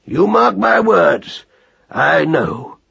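A man's voice: on the high side, big pitch swings, very slow, creaky, a bit bright, slightly rough, highs cut off, pitched above the performer's own voice, full character voice. A man voicing a kindly, sensible uncle rabbit who is plain-spoken and authoritative. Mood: firm, reassuring.

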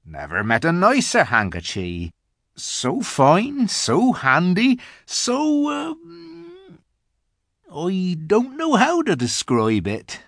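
A man's voice: high voice, huge pitch swings, slow, creaky, a bit bright, dry recording, clean studio sound, pitched above the performer's own voice, full character voice. A man voicing a good-natured, simple giant in a storybook, earnest and effusive. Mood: polite delight.

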